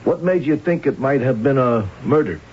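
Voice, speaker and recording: An actor playing a methodical police arson captain in a 1970s radio drama, putting questions in a clipped, matter-of-fact way. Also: male voice, mid-range voice, lively intonation, moderate pace, a little mellow, close to an everyday voice. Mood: probing. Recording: dull, hissy vintage radio broadcast.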